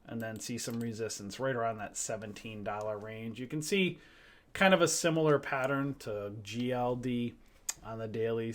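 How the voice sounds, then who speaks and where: A man walking viewers through stock charts in a loose, rambling live recap, tired and losing his voice, often clearing his throat. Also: mid-range voice. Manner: loose, rambling, many fillers and restarts, addresses viewers as guys. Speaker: stock trading educator. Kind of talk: casual live chart walkthrough.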